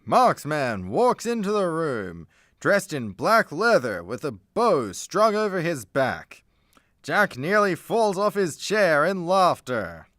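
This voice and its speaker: Guy narrating a radio play, slow pace, kinda high voice. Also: big pitch swings, fairly bright tone, dry recording, studio quality.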